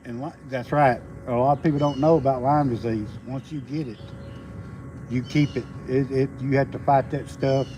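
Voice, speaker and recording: A man in his fifties with a Southern US accent, rambling in a folksy, halting way during a casual outdoor livestream. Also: medium pitch, steady tone. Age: 50s. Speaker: homesteading livestream host, logger. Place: outdoors on a rural roadside. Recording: outdoor noise with birds. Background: birds and rural outdoor ambience.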